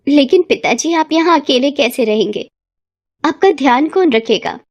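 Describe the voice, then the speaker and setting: A woman's voice: high voice, expressive, moderate pace, highs cut off, pitched above the performer's own voice. A woman voicing a determined, defiant young village daughter in a cartoon moral story, firm and earnest.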